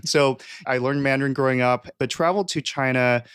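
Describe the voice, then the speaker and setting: A young adult man from California, a guest talking through his background in a relaxed podcast interview, with lots of "you know" fillers and light laughs. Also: medium pitch, a little fast, smooth, dry recording, studio quality. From California, USA. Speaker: guest expert.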